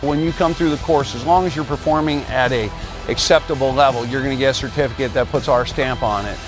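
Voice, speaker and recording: A man's voice: mid-range voice, fast talker, music in the background. A man speaking as a spokesman in a sponsor advertisement, proud and plain-spoken. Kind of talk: promotional ad.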